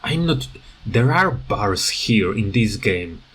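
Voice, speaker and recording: A man giving casual, unscripted commentary on a video game, hesitant and halting as he searches for words and often stammers. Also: fairly low pitch, big pitch swings, a bit bright, slightly rough. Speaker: gaming video creator.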